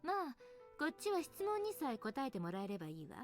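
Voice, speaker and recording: An actress voicing a sly, imperious female teacher and researcher in a Japanese visual novel game, with a brisk, probing manner. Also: high-pitched, wildly swooping pitch. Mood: cool, commanding. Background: game music.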